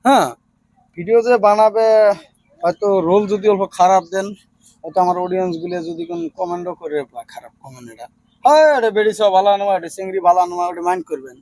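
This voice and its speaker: A man's voice: high voice, big pitch swings, quick pace, gravelly, darkish tone, no room sound, low-quality recording. A man with a hosting a casual vlog interview, repeating answers back and giving advice. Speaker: video creator interviewing newcomers.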